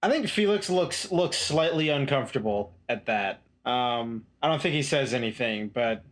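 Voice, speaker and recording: A man's voice: mid-range voice, animated, normal speed, fairly bright tone. A man playing a tabletop roleplaying game, tentatively describing his character's reaction.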